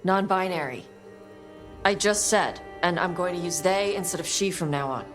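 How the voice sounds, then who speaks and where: An actress playing a blunt, defensive non-binary young adult adventurer in a video game, talking in short plain phrases. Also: female voice, lowish voice, normal speed, over music, lightly acted. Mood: blunt, guarded. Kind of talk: video game dialogue acting.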